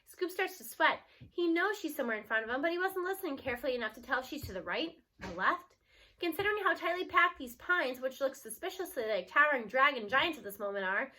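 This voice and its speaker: A woman narrating a children's story aloud in an expressive read-aloud style. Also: sits high.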